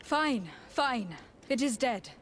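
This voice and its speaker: A woman's voice: sits high, animated, slow pace, a bit bright, exaggerated voice. An actress playing a composed, modest young noblewoman in a video game cutscene, resolute in manner, over faint ambient noise. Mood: calm, modest.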